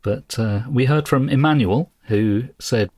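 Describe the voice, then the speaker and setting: A man co-hosting a podcast in a casual, measured and thoughtful chatting style. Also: medium pitch, a little mellow, no echo, studio quality. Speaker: podcast co-host.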